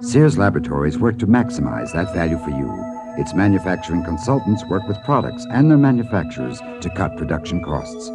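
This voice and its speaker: A man's voice: low-pitched, big pitch swings, brisk, few pauses, over music. A man reading scripted advertising narration as a commercial announcer.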